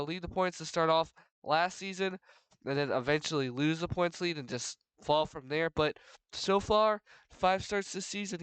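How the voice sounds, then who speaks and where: Young man, congested from a cold, race preview commentary, somewhat high voice, very smooth and clear, dry close sound. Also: a bit bright, dry recording, low-quality recording. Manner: rambling, filler-heavy, frequent restarts. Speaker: race commentator for a sim racing league.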